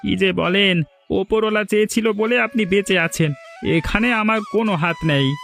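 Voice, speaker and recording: A man's voice: sits high, moderate pace, a bit bright, faint music behind. A man playing a kind, modest and helpful villager in a cartoon drama, speaking politely and humbly. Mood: humble.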